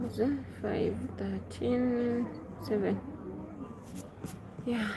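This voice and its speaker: Kenyan lady chatting on a livestream, really slow pace, repeats herself, noisy background. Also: fairly high pitch. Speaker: live streamer. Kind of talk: casual chat, reading and answering viewers' questions.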